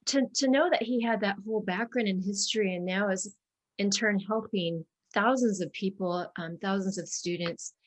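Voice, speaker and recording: A woman author who reads aloud and talks in an expressive, deliberate storytelling manner. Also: medium pitch. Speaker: children's book author. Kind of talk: picture-book read-aloud and conversation.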